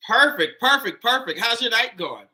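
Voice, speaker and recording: A man with a Pittsburgh accent hosting a live dating show in a loud, animated, chatty way. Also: very high voice, moderate pace, low-quality recording. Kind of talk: casual live-stream chat.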